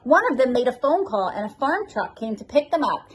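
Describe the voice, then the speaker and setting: A woman's voice: fairly high pitch, big pitch swings, a little fast, husky, low-quality recording. A woman reading a children's storybook aloud expressively, as a school assistant principal addressing her pupils.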